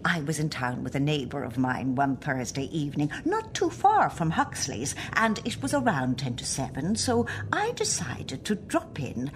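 A woman performing a polite, anxious Irish wife in middle age for a radio play. Her voice is low with lively swings of pitch, and she speaks hesitantly. There is noticeable noise on the recording. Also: exaggerated voice. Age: middle-aged. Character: nervous, worried middle-aged wife and client.

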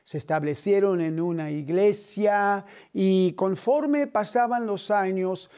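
Middle-aged male TV host, slow and earnest, mellow voice, studio setting. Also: sits high, clean tone. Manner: earnest, measured, with gentle hand gestures.

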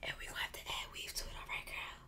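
A woman whispering through an ASMR hair-salon roleplay, playing the stylist and calling the listener "girl" and "sis", recorded close on a studio condenser mic. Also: racing.

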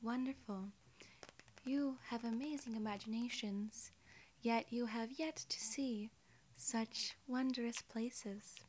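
A polite, curious alien in human form , played by a young woman, greets the listener in an ASMR roleplay. Her voice is fairly high and soft, slipping into whispers. She takes her time over every formal, quaint phrase. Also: fairly even intonation, a little hiss or hum. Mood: soft, welcoming. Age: young adult. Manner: slow, soft-spoken, formal quaint wording.

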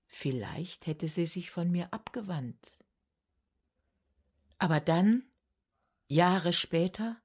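An actress playing a caring, sorrowful middle-aged village confectioner who confesses in a measured, earnest, hesitant radio play monologue. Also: female voice, fairly low pitch, darkish tone, exaggerated voice. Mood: remorseful, pleading.

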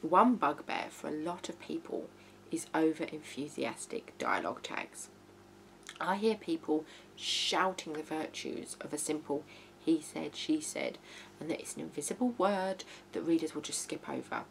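Woman, low creaky voice, blunt sweary tutorial chat, bit of hum behind her. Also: normal speed, poor audio. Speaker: writing advice presenter. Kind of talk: informal video tutorial, talking to camera. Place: small living room indoors. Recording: hum and background noise.

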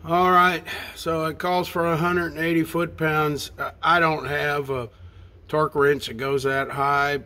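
Slow, halting man talking shop. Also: on the high side, flat delivery, sharp tone. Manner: slow, halting, with frequent fillers. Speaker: home mechanic vlogger. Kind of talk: casual narration. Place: home garage.

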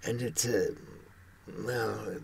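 A man in his seventies giving a rambling monologue to camera with wry, dark humour, often hesitating as he searches for words, in a husky voice. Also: lowish voice, steady tone, extremely slow, slight background noise. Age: 70s. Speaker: host recounting experiences from a criminal past.

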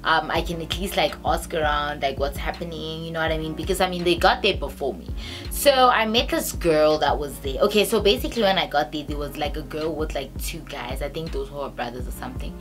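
A woman in her twenties with a South African accent tells a story casually to camera, chatty and halting, sometimes reading aloud. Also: low voice. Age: 20s. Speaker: storytime vlogger. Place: small bedroom, indoors. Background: background music.

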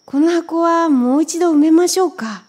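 A woman's voice: very high-pitched, normal speed, a little mellow, soft background music, pitched above the performer's own voice. A woman voicing a polite, eager young boy adventurer in a children's storybook. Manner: boyish, courteous, earnest.

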